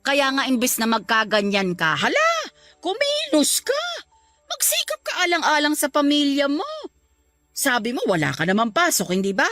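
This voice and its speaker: An old Filipino grandmother in a radio drama. She is blunt but caring, with lively, swooping pitch and a scolding, exclamatory delivery. Faint music runs underneath. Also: normal speed, no echo, exaggerated voice. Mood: scolding, reproachful. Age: older. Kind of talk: Tagalog radio drama acting.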